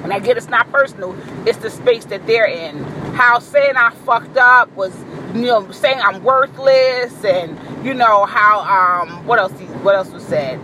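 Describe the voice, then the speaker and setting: Blunt, deep-voiced woman venting. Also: measured pace, few pauses, sharp tone, slight background noise, poor audio. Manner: blunt, profane, assertive; boisterous. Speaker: vlogger. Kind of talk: candid monologue to camera.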